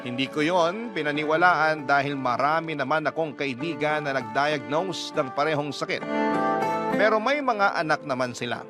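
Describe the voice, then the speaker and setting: A man's voice: a little high, animated, normal speed. A man narrating a radio love story in the first person, in a dramatic storytelling style. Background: music under the voice.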